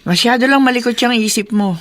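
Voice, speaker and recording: An actress playing a reasoning, protective middle-aged aunt in a Filipino radio drama. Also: female voice, on the high side, fast talker, fairly bright tone, natural voice. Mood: firm, defending. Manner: reasoning, reassuring. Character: calm, protective middle-aged aunt defending her niece.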